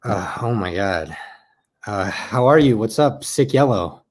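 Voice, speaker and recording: An awkward, eager young adult, performed by an actor in a streamed play. The voice is medium in pitch, with a hesitant, rambling, conversational delivery. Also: expressive, measured pace. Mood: flustered, pleased. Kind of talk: naturalistic play dialogue over video call.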